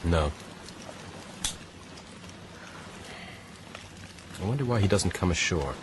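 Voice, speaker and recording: Conscientious castaway, radio drama: very low register, earnest, terse, background noise. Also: male voice, animated, fast. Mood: brooding. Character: earnest, wary castaway man, conscientious and resolute. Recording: noisy outdoor ambience behind.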